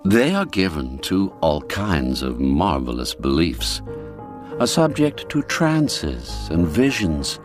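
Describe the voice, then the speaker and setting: Slow, low-voiced male storyteller with lively intonation. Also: music in the background. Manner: measured, formal storytelling delivery. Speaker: narrator. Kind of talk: scripted literary narration of a classic folk tale.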